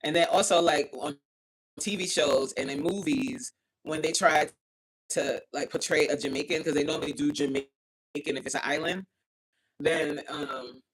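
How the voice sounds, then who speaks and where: A woman hosting a casual livestream, reading chat aloud, animated and outspoken, exclaiming often and laughing as she talks. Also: sits low, a little slow, pauses often, rough recording. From United States.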